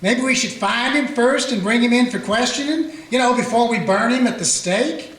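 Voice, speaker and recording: Frontier sheriff, played by a man, calm folksy narrator, high-ish voice, roomy echo. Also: moderate pace. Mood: steady. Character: small-town Old West sheriff, steady and dry. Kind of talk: radio play acting. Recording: echoey room.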